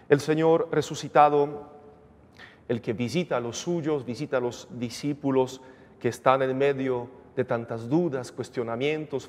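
A man in his forties, a priest giving a measured, earnest sermon at a lectern microphone in a chapel. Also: mid-range voice, a little mellow. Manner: measured, earnest preaching with rising emphasis. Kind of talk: sermon at Mass. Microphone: gooseneck lectern microphone. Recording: reverberant chapel sound.

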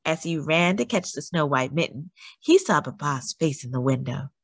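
Animated, husky woman reading a children's story. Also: sits low, moderate pace, dry recording. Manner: expressive, with chatty asides to young listeners. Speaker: read-aloud storyteller for children. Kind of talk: animated picture-book read-aloud for young children.

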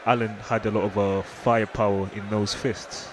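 A man's voice: lowish voice, flat delivery, moderate pace. A man giving casual, hesitant live commentary on a fight. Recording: noisy event hall ambience.

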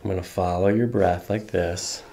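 A man working as a chiropractor, gently and reassuringly talking a patient through an ASMR exam step by step. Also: low-pitched, steady tone, moderate pace, a little hiss or hum. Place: small carpeted office treatment room. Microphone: microphone on a boom stand near the table.

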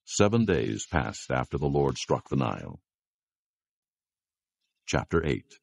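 Guy narrating dramatized scripture, super deep voice w lots of fry, solemn and measured, dry studio sound. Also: very animated, no echo.

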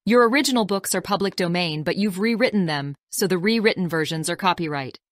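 A woman's voice: fairly low pitch, fairly quick, dry recording, clean studio sound, close to an everyday voice. An actress playing a businesslike female former army officer in an audiobook drama. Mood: matter-of-fact.

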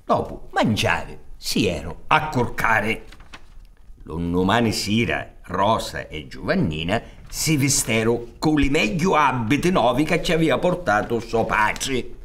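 A man's voice: fairly low pitch, takes it slowly. A man in his sixties with a Sicilian accent narrating a folk tale in an emphatic, theatrical way. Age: 60s. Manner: emphatic, expressive, gesturing. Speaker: storyteller. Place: theater stage. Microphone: close studio microphone on stand.

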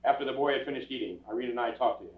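An older man playing a calm, matter-of-fact police detective in a stage radio drama, with clipped delivery. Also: medium pitch, very fast, lightly acted. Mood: matter-of-fact narration. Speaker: actor and narrator in a stage radio play. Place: small theatre stage, recorded from audience camera. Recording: muffled, echoey stage recording from far off.

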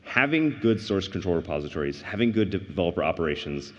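A man in his thirties with a low voice gives a tech keynote from a big stage, earnest and explanatory, lifting his voice on key points. His headset mic carries a long hall reverb, and the livestream sound is a little dull. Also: very animated, fast talker. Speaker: conference keynote speaker. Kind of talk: tech conference keynote talk. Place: large conference stage.

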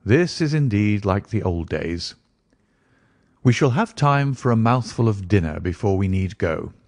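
A self-assured gentleman detective read by a man speaks with courteous, measured formality in a storybook. The voice is on the low side and richly inflected. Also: expressive, dry recording, clean studio sound. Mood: composed. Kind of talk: audiobook character dialogue.

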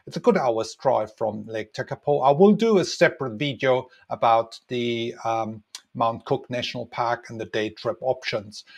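Middle-aged male travel guide, casual explainer with frequent fillers, home webcam recording. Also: medium pitch. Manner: relaxed, many ums and uhs, explaining. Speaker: tour guide and travel designer. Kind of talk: casual map walkthrough narration.

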